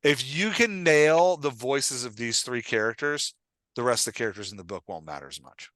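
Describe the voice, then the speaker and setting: A man with a bright, forceful voice, recorded dry and close. He coaches conversationally and with emphasis, often stopping to check that his point lands. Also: mid-range voice, animated, normal speed, no echo. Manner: emphatic, repeatedly checks for understanding; acts out short example lines of dialogue. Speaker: podcast host and writing coach.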